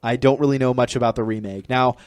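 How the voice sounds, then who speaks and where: A man co-hosting a podcast in a casual, halting chat, often correcting himself. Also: mid-range voice, fairly even intonation, darkish tone, dry recording, clean studio sound. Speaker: podcast co-host.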